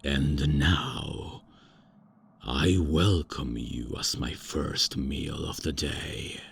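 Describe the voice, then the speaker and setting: A man's voice: rumbling low, very slow, vocal fry, slight background noise, heavily acted. A man voicing a haughty, out-of-touch ancient male vampire lord in a comedy ASMR roleplay, with a pompous, theatrical manner. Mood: menacing.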